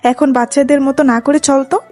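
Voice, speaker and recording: A woman's voice: high-pitched, fairly even intonation, brisk, mellow, lightly acted. A woman playing a bossy young elder sister who chides and teases in a dramatised love story. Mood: chiding. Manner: chiding. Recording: music behind the voice.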